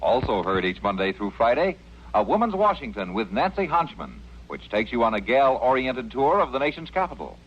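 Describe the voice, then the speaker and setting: A man's voice: mid-range voice, big pitch swings, brisk, a little hiss or hum. An American man announcing for a network in polished mid-century radio style, with jaunty, wordy promotional delivery. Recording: muffled old radio broadcast.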